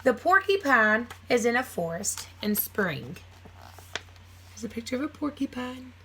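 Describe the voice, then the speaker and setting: A woman's voice: a little high, animated, measured pace, fairly bright tone, a little hiss or hum. A woman teacher reading a children's nonfiction book aloud, phrasing it simply for young listeners with casual asides.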